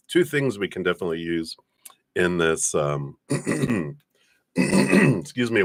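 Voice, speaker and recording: Male livestream host, very low voice, congested sinuses, dry close sound, chatty and rambling. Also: a bit bright, no room sound. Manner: friendly, rambling, frequent fillers and throat clearing. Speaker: tarot reader hosting a livestream. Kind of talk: casual livestream chat.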